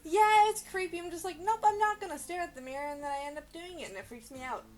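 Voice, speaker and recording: A young woman co-hosting a casual chat, reacting to stories in an animated, exclamatory, informal way. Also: extremely high pitch, fast. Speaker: podcast co-host.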